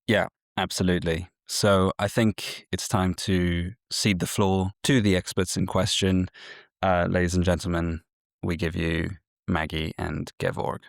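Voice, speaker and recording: A man's voice: low voice, little pitch movement, unhurried, creaky, dry recording, studio quality. A man hosting a podcast, talking reflectively with frequent restarts. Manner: reflective, many fillers and restarts. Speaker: podcast host. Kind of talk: conversational podcast talk.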